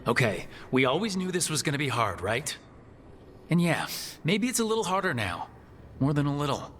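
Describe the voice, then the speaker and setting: Earnest game hero in an echoing hall. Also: male voice, fairly high pitch, big pitch swings, normal speed, a bit bright. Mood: resolute. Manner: plain-spoken, conversational. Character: earnest, resolute male hero leading a team. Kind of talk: video game dialogue acting. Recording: echoey hall, clear background noise.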